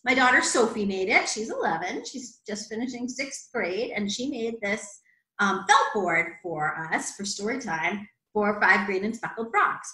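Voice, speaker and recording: A woman's voice: medium pitch, lively intonation, moderate pace, pushed and bright, reverberant, rough recording. A woman in her forties hosting a children's storytime, talking simply and expressively to kids as she reads picture books. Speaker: library storytime host. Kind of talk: children's picture-book read-aloud and casual talk. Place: indoor room at home. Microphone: computer mic on video call, arm's length.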